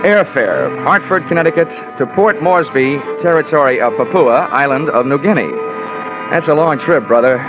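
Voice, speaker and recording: An actor playing a wry, world-weary American insurance investigator in a 1950s radio detective drama, sardonic and wisecracking. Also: male voice, fairly high pitch, lively intonation, moderate pace, natural voice. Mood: dry, wry. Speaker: lead and narrator. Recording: dull old radio recording, music.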